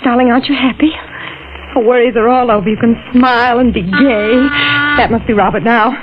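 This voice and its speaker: Actress as a high-voiced hopeful fiancée. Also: female voice, fairly even intonation, fairly quick, natural voice. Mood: happy, relieved. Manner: gushing, tender. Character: bright, hopeful, devoted fiancée. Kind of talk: 1940s radio drama acting. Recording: noisy, muffled old radio recording.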